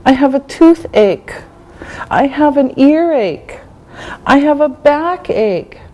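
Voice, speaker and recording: Woman teacher, slightly high voice, very slow and deliberate, repeats every phrase. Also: animated, mellow, highs cut off. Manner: slow, drawn-out repetition of words. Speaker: English teacher. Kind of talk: slow classroom vocabulary lesson.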